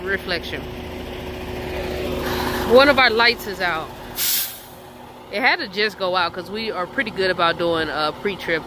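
A young woman truck driver chatting casually to her vlog camera, animated and talkative. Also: mid-range voice. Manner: chatty, animated, addresses viewers as y'all. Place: hotel and truck cab. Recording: background noise.

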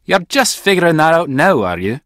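A secretive video game spy contact. His voice is slightly husky and swings widely in pitch, with a dry, theatrical edge. The sound is close and dry. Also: sing-song, moderate pace, fairly bright tone, dry recording, full character voice. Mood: taunting, heated. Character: secretive male spy contact, brisk and wry.

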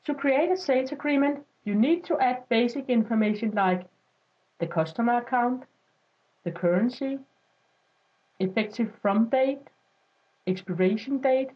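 Female tutorial narrator, notably high voice, scripted instructional read, slightly muffled lo-fi sound. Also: expressive, normal speed, pauses often. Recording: dull lo-fi voice-over.

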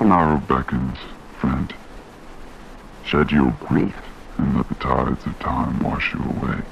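Man acting a very deep, gravelly haunted man. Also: muffled recording, a little hiss or hum, exaggerated voice. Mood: resigned, entranced. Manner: halting, hesitant, brooding delivery with trailing repetitions. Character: disoriented, haunted man slipping into dreamy, fatalistic surrender. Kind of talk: horror game taped monologue.

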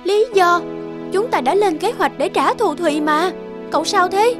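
A woman's voice: extremely high pitch, moderate pace. A woman voicing a blunt young friend who scolds and pushes with insistent questions in a cartoon. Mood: scolding. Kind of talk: cartoon voice acting. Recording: music under the voice. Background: background music.

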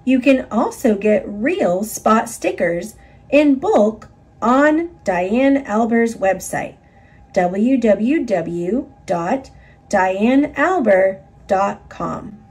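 Woman reading kids picture book aloud, kinda high voice, really slow and expressive. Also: husky. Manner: expressive, instructive delivery for young children. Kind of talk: read-aloud children's storytelling.

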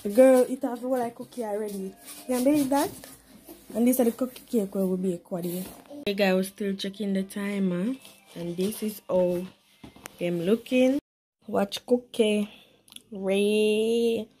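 A woman's voice: medium pitch, fairly even intonation, unhurried, mellow. Jamaican woman vlogging over background noise. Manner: informal, slipping into Patois. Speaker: home vlogger. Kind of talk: casual cooking vlog chat. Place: home kitchen, indoors. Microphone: handheld phone behind the camera. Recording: noisy handheld home recording with clicks.

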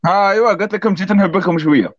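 A man joining a live stream call, trading quick jokes. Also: high-pitched, no echo. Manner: very fast, joking banter. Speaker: participant. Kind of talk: casual call-in chat. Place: outdoors in the cold. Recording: dry, dull lo-fi call audio.

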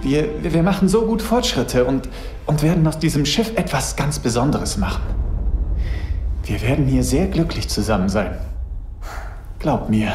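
Male actor as a soothing lover, lively pitch, echoey room. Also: normal speed, pauses often, soft background music, lightly acted. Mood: coaxing. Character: Eva's coaxing, doting male partner.

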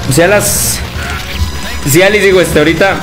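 Young Mexican man, Mexican Spanish accent, friendly streamer banter, slightly high voice, game music loud underneath. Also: normal speed. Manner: chatty, laughs often, calls viewers brother. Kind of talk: casual livestream chat reading viewer comments. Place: indoor room at home.

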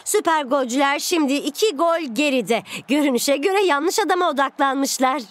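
A woman's voice: high-pitched, normal speed, slight background noise, natural voice. A woman voicing a keen, dramatic football commentator in a cartoon. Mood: intrigued.

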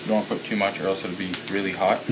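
A man's voice: sits low, level tone, racing, noisy background. A young man cooking in a home kitchen, plainly explaining each recipe step. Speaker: home cook. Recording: loud sizzling and boiling behind. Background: frying and boiling pots.

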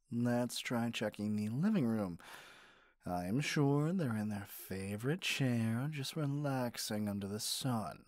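Man playing a doting male caregiver, ASMR roleplay, soothing, soft-spoken, unhurried, dry close sound. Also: mid-range voice, dry recording. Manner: soft, soothing, playful coaxing. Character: gentle, doting male caregiver comforting a partner.

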